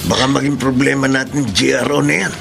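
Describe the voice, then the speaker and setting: A man's voice: steady tone, fast, a bit bright. An actor playing a cautious male accomplice to a burglar in a radio drama. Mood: wary. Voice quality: gravelly. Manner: cautious, low-key. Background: background music.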